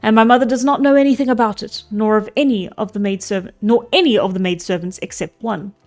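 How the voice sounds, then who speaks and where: A woman's voice: medium pitch, fairly even intonation, fairly quick, darkish tone, soft background music, natural voice. A woman reading as a young prince giving orders. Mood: commanding.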